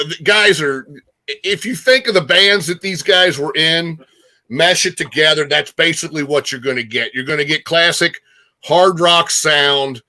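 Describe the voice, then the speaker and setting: Male music host, higher-pitched and very bright, informal chat delivery with repeated phrases for emphasis. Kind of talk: casual rock music chat.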